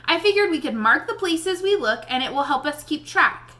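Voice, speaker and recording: A woman's voice: high voice, expressive, moderate pace, sharp tone. A woman voicing an earnest, methodical young boy in a storybook.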